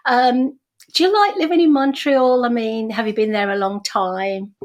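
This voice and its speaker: An older British woman with a slightly high voice, hosting an interview. She chats casually and effusively, with a self-deprecating streak. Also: moderate pace. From Britain. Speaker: interview host.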